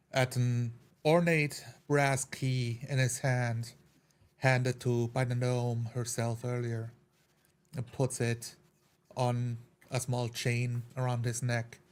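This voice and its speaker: A man takes part in a tabletop roleplay, describing his character's actions. His voice sits at a medium pitch with very little movement, and he takes his time. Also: little pitch movement, slow pace, clean tone.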